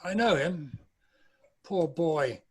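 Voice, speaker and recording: Man acting old miser, high-pitched voice, frightened, stubborn, amateur drama table read. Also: lively intonation, slow, husky, full character voice. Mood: shaken, wistful.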